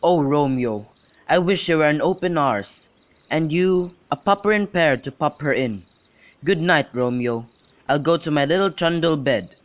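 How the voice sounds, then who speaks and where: A man's voice: fairly high pitch, lively intonation, measured pace. A young man playing a bawdy, mock-grand friend in an amateur Shakespeare radio drama, with theatrical, teasing delivery. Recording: noisy home recording with clicks.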